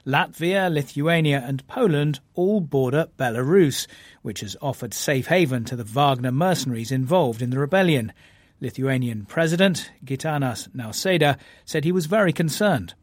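A man presenting the news in a measured, formal way, reading reports and questioning a guest. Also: medium pitch, lively intonation, dry recording. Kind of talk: broadcast news reading and interviewing.

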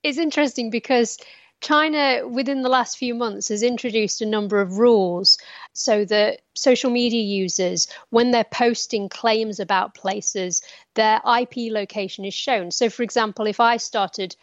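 A woman explains a story in an interview, chatty and full of examples. Her voice is somewhat high and comes through a muffled, dry line. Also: steady tone, normal speed, no echo. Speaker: media analyst.

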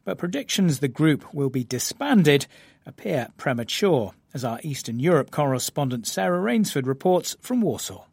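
A man's voice: a little high, very animated, vocal fry, darkish tone, slightly rough, no echo. Formal male news presenter, dry studio. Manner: measured, formal delivery. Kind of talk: broadcast news reading and interviewing.

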